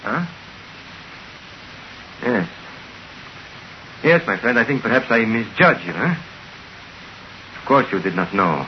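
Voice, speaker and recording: An actor playing a frantic, suspicious diamond owner who speaks broken English in an old radio drama. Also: male voice, slow pace, long pauses. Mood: confiding. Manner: excitable, shrill, broken English. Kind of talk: 1940s radio acting. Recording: hissy, muffled old radio recording.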